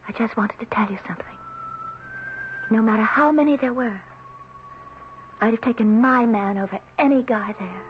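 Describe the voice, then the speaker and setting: An actress playing a devoted, tender wife in an old-time radio drama, speaking in an earnest, intimate way. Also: female voice, a little high, normal speed, pauses often, background noise, close to an everyday voice. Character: devoted, tender wife confiding in her husband. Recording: muffled vintage radio recording with music behind. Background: music.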